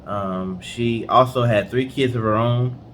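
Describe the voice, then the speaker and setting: A man's voice: mid-range voice, unhurried, fairly bright tone, a little hiss or hum. A man co-hosting a storytelling show in a casual, conversational style. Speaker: co-host of a storytelling guessing show.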